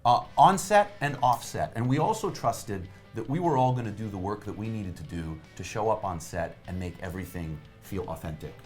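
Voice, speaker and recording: A man's voice: fairly low pitch, fairly quick, hardly any pauses, faint music behind. A man, a cast member, chatting in an interview and telling stories with enthusiasm. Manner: animated storyteller, enthusiastic. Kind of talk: casual interview chat.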